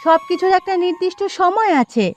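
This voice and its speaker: A woman's voice: very high voice, animated, fairly quick, music in the background. A woman playing a practical village mother, chiding but fond, in a cartoon story. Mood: explaining.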